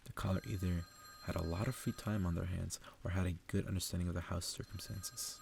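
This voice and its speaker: A man reading a story aloud in a soft, subdued ASMR style, unhurried and gravelly. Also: fairly even intonation, slight background noise. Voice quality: low, gravelly, soft-spoken. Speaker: ASMR reader.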